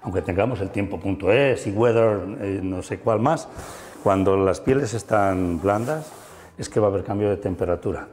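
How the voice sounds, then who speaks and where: A man's voice: on the low side, moderate pace, a little mellow, big-room sound. A middle-aged man guiding an interview through a museum, enthusiastic and full of fillers and tag questions. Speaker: musician and museum guide. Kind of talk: explanatory interview chat. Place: museum room.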